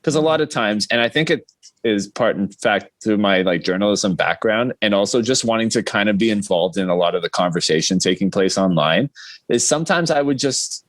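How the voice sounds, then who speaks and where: A man with a fairly low voice talks casually as a guest on a podcast. He rambles in a self-deprecating way, and the sound is close and dry. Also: lively intonation, brisk, dry recording.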